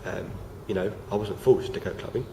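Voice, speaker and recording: A young man, croaky from feeling unwell, talking casually to camera with many fillers and restarts. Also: lowish voice, a little fast. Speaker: vlogger. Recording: noisy home recording.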